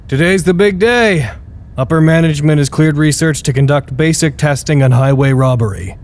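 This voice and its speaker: An actor playing an anxious, stubborn research staffer in an audio drama, speaking in a casual, wry, self-deprecating way. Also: male voice, a little high, moderate pace, darkish tone, natural voice. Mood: dry narration. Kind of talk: naturalistic audio drama acting.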